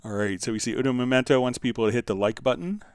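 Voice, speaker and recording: A man hosting a live software tutorial in an informal, conversational way, thinking aloud as he demonstrates. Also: medium pitch, fast, no room sound, studio quality. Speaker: livestream host and software instructor.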